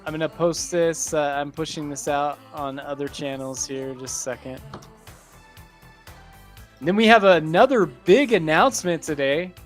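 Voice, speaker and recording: A man's voice: fairly high pitch, normal speed. An upbeat man hosts a live online stream in a slightly raised voice. He greets listeners by name while juggling links, and light music plays faintly behind him. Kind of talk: casual live-stream chat.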